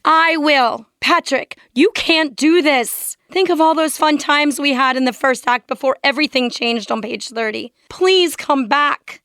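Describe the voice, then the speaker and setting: High-voiced, overwrought pleading ex-lover. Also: female voice, measured pace, few pauses, fairly bright tone, no room sound, studio quality. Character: pleading, overwrought ex-lover in a wedding movie spoof. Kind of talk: exaggerated sketch comedy acting.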